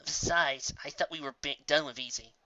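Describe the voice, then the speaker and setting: A woman's voice: sits low, normal speed, sharp tone, lo-fi sound. A woman voicing a vain, casual tomboy pegasus prankster in a storybook. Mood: uneasy.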